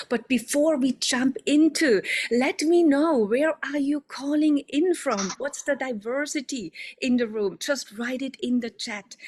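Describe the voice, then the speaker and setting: A woman's voice: on the high side, darkish tone. A woman from Austria, hosting an online coaching event in an upbeat, welcoming way with some fillers and restarts, over a video call microphone. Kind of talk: welcoming presentation to an online audience.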